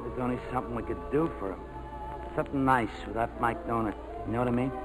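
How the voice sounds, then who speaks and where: An actor playing a rough, loyal American ex-airman in a 1940s radio drama, talking in slangy, streetwise fashion. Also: mid-range voice, fast talker, music in the background, close to an everyday voice, low-quality recording. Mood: worried. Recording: vintage 1940s radio broadcast.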